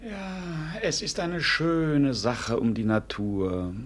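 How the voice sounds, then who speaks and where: An actor playing a cynical, earthy court jester in a classic radio play, husky and drolly theatrical. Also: male voice, a little high, slow pace, background noise. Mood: wry, droll. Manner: droll, wry theatrical musing.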